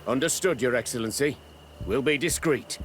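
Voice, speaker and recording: An actor playing a dutiful military officer in a video game, speaking formally and respectfully to a superior. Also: male voice, mid-range voice, normal speed, slight background noise, close to an everyday voice. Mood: dutiful, ready. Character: dutiful, deferential military officer ready for orders. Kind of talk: scripted video game voice acting.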